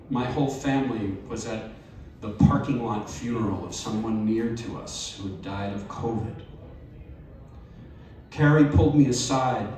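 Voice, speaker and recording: Man, expressive live story reader, heavy room echo, picked up from afar. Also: medium pitch, a little hiss or hum. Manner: measured, expressive storytelling with wry emphasis. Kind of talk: live reading of a personal essay. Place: live event venue. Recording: very echoey live room, distant mic.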